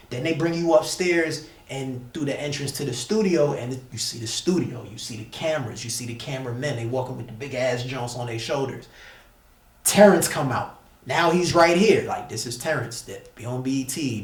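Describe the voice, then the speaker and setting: A man from the United States telling a story casually as an interview guest, with slangy, emphatic and repetitive phrasing. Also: mid-range voice. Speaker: interview guest, battle rapper. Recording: echoey room, distant mic.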